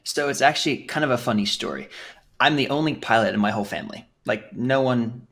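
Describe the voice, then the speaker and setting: A man's voice: medium pitch, a little fast, gravelly, a bit bright. A man in his twenties from England, a podcast guest telling his story casually, rambling with lots of fillers and restarts.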